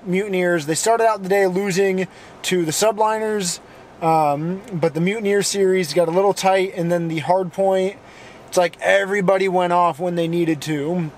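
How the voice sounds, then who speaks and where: A worn-out young man whose voice has gone hoarse, talking a bit high for a guy. He rambles casually, full of "um"s and restarts, like he is wrapping up a long day on camera. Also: a little hiss or hum. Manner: rambling, filler-heavy self-talk. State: hoarse, losing voice; very tired. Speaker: vlogger. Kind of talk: casual vlog talk.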